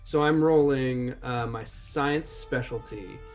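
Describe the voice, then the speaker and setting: A man's voice: medium pitch, takes it slowly, faint music behind. Man playing a tabletop game, casual and joking. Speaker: player in a tabletop roleplaying game. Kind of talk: casual game chat, describing own character's actions.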